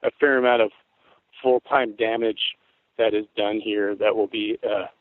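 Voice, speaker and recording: A man in his forties from Tacoma, Washington, with a rough, worn voice, telling stories in a rambling, laid-back way as a guest on an interview show. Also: fairly low pitch, fairly even intonation, moderate pace, no echo. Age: 40s. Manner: rambling, laid-back storytelling with frequent swearing. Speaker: guest musician. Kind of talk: casual interview chat. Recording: tinny phone-like line, dry.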